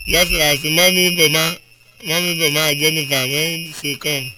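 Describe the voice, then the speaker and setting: A man's voice: a little high, level tone, unhurried, heavy background noise. A man with a Nigerian Pidgin accent, hosting a casual call-in radio show, talking in a chatty way and tagging his points with a questioning "eh?". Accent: Nigerian Pidgin English. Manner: chatty, rhetorical "eh?" after each point.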